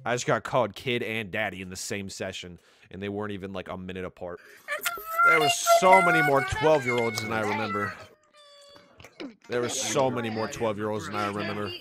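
A young man giving loud, laughing, slang-heavy commentary while exploring a social VR game, swearing often. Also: lowish voice, sing-song, brisk. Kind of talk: casual gaming commentary.

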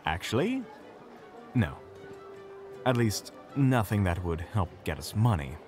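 A man playing a smooth-talking, snide adventurer in an audio drama, with a pompous, affected way of talking. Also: on the low side, sing-song, measured pace, darkish tone, faint music behind. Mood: self-justifying.

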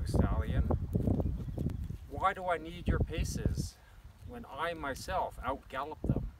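A middle-aged man recites poetry outdoors, far from the microphone with loud noise around him. His delivery is declamatory and measured, breaking the verse into short phrases. The voice sits a little high for a man. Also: creaky. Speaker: poetry reader. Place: open grassy farm paddock outdoors. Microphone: camera a few metres away. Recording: distant mic, loud outdoor wind and noise.

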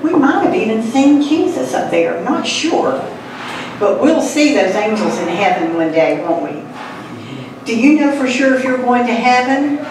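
A woman's voice: fairly high pitch, animated, moderate pace. A woman hosting a church puppet show, giving an evangelistic talk to an audience. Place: hall indoors, recorded from the audience. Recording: distant, echoey, noisy room recording.

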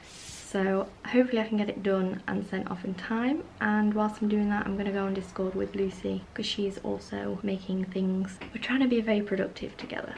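Young girl vlogging from her home studio, kinda flat and a bit gravelly, faint hum behind. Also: mid-range voice, normal speed, low-quality recording. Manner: chatty, relaxed, trails off with fillers. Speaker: vlogger and small shop owner. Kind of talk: casual vlog talk to camera. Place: small home studio room, indoors.

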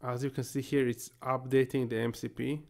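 Israeli man with a gravelly voice. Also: mid-range voice, level tone, brisk, background noise. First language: Hebrew. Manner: casual, thinking aloud while working. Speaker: tech YouTuber. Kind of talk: screen-share tutorial.

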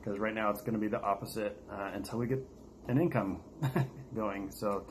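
A man's voice: lowish voice, normal speed. An American man chatting casually to viewers as the host of a vlog. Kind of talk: casual chat to camera. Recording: steady noticeable background noise.

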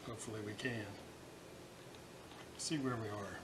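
A man giving a casual hands-on repair demonstration, thinking aloud in short, halting remarks as he works. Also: on the low side. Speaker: radio restorer. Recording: clearly noisy home video recording. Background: loud background noise and ambience.

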